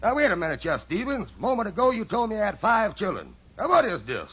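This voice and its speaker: An actor playing a suspicious, grasping tough man in a 1940s radio sitcom, gruff and challenging in manner. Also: high-pitched, wildly swooping pitch, fast talker, a bit bright, heavily acted. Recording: muffled vintage radio recording.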